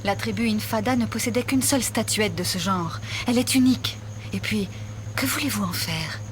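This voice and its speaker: An actress playing a cool, self-assured, dryly skeptical adventuress in a video game. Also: female voice, mid-range voice, normal speed, slight background noise, lightly acted.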